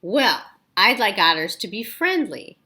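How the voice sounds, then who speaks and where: A woman's voice: mid-range voice, big pitch swings, unhurried, sharp tone. A woman voicing a worried, fussy male storybook rabbit who muses aloud in questions. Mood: pondering, hopeful. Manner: questioning, musing storybook delivery.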